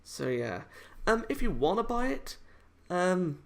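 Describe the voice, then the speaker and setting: A man giving a casual, unscripted review in a halting, hesitant, rambling way, often correcting himself as he goes. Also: high voice, very animated, gravelly. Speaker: amateur video game reviewer.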